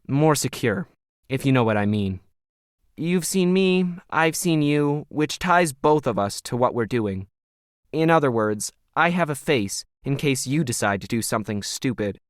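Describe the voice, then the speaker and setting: A man's voice: on the high side, sing-song, a little slow, dry recording, voice pushed up. A male narrator acts out an arrogant, moneyed male villain in an audio story. He is cold and sly, taunting with pompous self-assurance. The sound is close and dry. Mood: smug, veiled threat. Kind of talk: audio fiction character dialogue.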